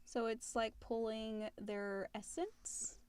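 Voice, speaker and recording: A woman's voice: a little high, level tone, takes it slowly, a little mellow, smooth, no echo. A woman co-hosting a casual question-and-answer podcast, reading questions and chiming in with short supportive clarifications. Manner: supportive, brief interjections and clarifications.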